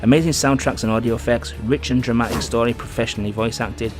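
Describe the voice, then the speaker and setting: A man's voice: mid-range voice, steady tone, fast. A man hosting a casual video game review, talking in a conversational, loosely rambling way. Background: music.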